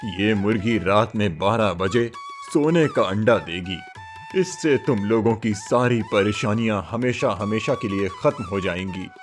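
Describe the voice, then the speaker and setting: A man's voice: fairly low pitch, expressive, measured pace, soft background music. A man voicing a kindly, grateful wandering holy man in a cartoon story, solemn and instructive.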